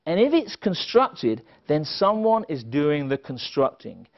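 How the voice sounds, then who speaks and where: A middle-aged man speaks from a conference podium in a fairly high, very animated voice. He delivers a prepared lecture with wry asides and pointed emphasis. The recording sounds a little dull. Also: unhurried. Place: indoor stage at a conference podium. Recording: slightly dull, band-limited event recording.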